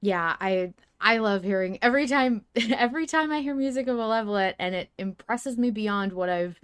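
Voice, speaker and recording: A woman's voice: fairly high pitch, animated, normal speed, clear, resonant tone, no echo, clean studio sound. A woman co-hosting a podcast in casual discussion. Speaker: podcast co-host.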